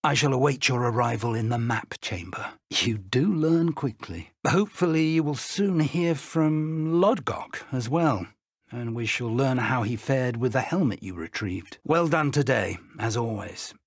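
An older man with a British accent voicing an earnest, kindly wizard mentor in a video game, speaking in a measured, formal, articulate way. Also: medium pitch, slightly rough, no echo, clean studio sound. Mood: calm, encouraging. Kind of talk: video game character dialogue.

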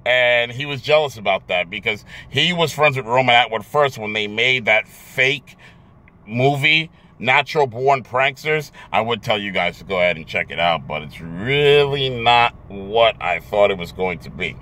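Casting: American man, thirties, medium-pitched voice, casual YouTube commentary to camera, halting, emphatic delivery with repeated words. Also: animated, pushed and bright. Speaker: YouTube vlogger. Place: inside a car in daylight. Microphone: phone or camera at arm's length.

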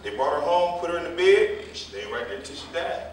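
A man's voice: on the high side, animated, a little fast, natural voice. A man playing a gossipy, combative older jitney driver in a stage play reading, telling stories in a folksy, rambling, colloquial way. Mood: indignant. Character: gossipy, combative old male jitney driver, scolding the young. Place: theater or hall staged reading, far mic. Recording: echoey hall, distant mic, faint noise.

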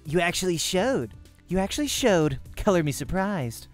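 A man's voice: high voice, big pitch swings, moderate pace, soft background music, voice pushed up. A man voicing a curt, sarcastic, competitive young woman pro gamer from a visual novel. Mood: dry, sarcastic.

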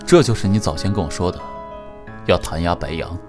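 An actor playing a composed, protective company chairman in an audio drama, speaking in a restrained, quietly reproving way. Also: sits low, lively intonation, fairly quick, music in the background. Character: composed, low-voiced male company chairman, protective and stern. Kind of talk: Chinese audio drama acting.

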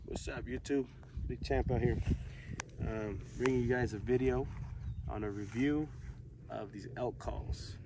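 A young man with a gravelly voice talks haltingly and casually to camera, reviewing gear. He is outdoors and a little way from the mic, with very loud noise over the recording. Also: medium pitch, fairly even intonation, far from the mic. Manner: halting, unscripted delivery. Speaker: video host, hunter reviewing gear. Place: outdoors on an open grassy hillside. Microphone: camera a few metres away.

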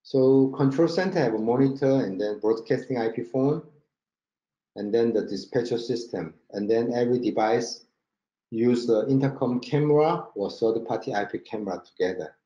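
Mellow Korean-accented man in a roomy space. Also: mid-range voice. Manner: halting non-native English with frequent check-in words. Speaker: company marketing director presenting a webinar. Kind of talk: product presentation over slides. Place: indoor room, online webinar with screen share. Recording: roomy, dull webinar audio.

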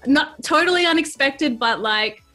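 A woman's voice: high voice, animated, pushed and bright, faint music behind. A woman in her thirties from New Zealand, an enthusiastic guest on a casual craft chat. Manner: enthusiastic, gushing, fast bursts. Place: colourful craft studio set.

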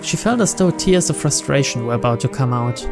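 A man with a medium-pitched voice reads visual novel narration aloud as a let's player. Clear game music plays behind his storytelling. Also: animated, a little fast.

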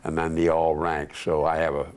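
An older man telling war memories in a casual interview, unhurried and rambling with many fillers, recorded on a lapel mic in a living room. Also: very deep voice, monotone, a little mellow. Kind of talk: casual oral history interview. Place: living room, seated on couch.

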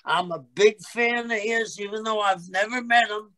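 An older man, host of a chat show, speaking deliberately and admiringly with a gravelly voice on a video call. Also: high-pitched, bright, forceful tone, no echo. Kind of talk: slow casual chat.

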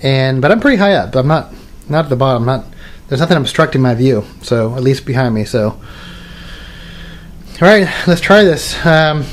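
A man's voice: medium pitch, animated, normal speed, pauses often, slight background noise. A man in his thirties casually showing off radio gear at his home workbench, rambling with many restarts and fillers. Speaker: radio hobbyist video maker. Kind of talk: casual show-and-tell demo.

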